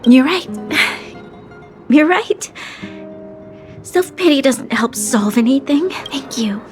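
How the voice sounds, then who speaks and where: A woman playing a refined, composed, wistful young noblewoman in a video game, formal and measured. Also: sits high, animated. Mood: subdued, wry. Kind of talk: video game cutscene voice acting. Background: game music.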